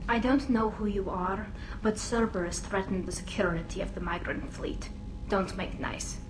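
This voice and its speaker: A woman plays an earnest young quarian engineer in a sci-fi game. She speaks in a mid-range voice, blunt yet loyal, against steady background noise. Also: mid-range voice, normal speed, reverberant, close to an everyday voice. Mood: guarded. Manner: earnest, blunt, a little guarded. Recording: game audio with ambient noise.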